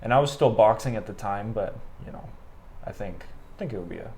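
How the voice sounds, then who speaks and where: A young Canadian man in his twenties, talking in a relaxed, rambling way with many fillers as a guest on an interview podcast. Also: fairly low pitch, a little fast. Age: 20s. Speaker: guest, amateur boxer. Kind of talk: casual interview conversation.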